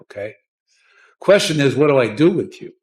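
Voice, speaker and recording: A blunt American man with a medium-pitched voice, speaking as a judge in a courtroom. He questions and lectures in plain, repetitive phrases, often tagging them with a short check for agreement. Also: very animated, moderate pace, darkish tone.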